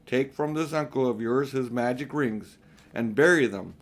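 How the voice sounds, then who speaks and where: A man voicing a wise, grave lion in an audiobook, speaking in measured, commanding pronouncements. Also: medium pitch, lightly acted. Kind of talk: audiobook character reading.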